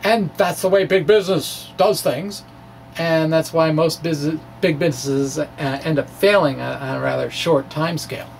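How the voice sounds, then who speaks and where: A middle-aged man doing a dramatic reading of a science blog, halting and wry, with informal self-deprecating asides. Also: a little high, gravelly. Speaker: science video blogger. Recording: distant mic, faint background noise.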